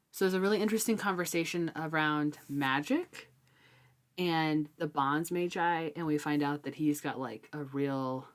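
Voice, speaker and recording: Low-pitched woman in casual book chat. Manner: informal, conversational, thinking aloud. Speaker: book discussion podcast co-host.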